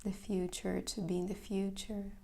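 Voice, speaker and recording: A woman leading a guided meditation as teacher, calm, gentle and unhurried, with a soft, sometimes breathy voice. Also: mid-range voice. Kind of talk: guided meditation, slow and soothing.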